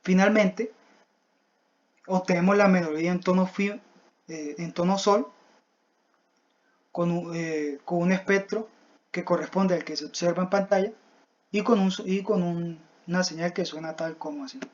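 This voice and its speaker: A young adult student giving a scripted technical presentation in a halting, didactic, step-by-step manner. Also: medium pitch, fairly even intonation. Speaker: student presenting a class project. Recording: dull, lo-fi voice recording.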